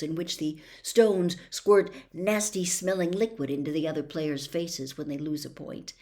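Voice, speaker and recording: A woman reading a story aloud as expressive audiobook narration, with measured, dramatic phrasing. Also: lowish voice. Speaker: storybook reader.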